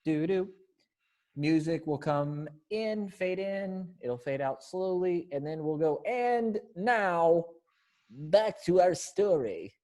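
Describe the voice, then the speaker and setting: A playful narrator reading stage directions and announcements in a deliberate way during an amateur play rehearsal. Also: medium pitch, animated, a little mellow. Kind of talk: animated readers theater narration with vocalized cues.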